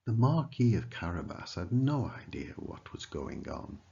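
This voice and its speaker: Slow, expressive male storybook narrator. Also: low voice, vocal fry, slightly rough, lo-fi sound. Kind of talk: scripted children's story narration.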